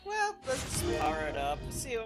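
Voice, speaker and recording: Man streaming a game over very loud music. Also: a little high, huge pitch swings, normal speed. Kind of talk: casual playthrough commentary, reading dialogue choices aloud. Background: loud video game music.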